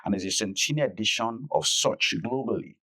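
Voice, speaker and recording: A man explaining things phrase by phrase in a measured, conversational interview manner. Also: on the low side, lively intonation, sharp tone. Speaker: podcast guest, festival director.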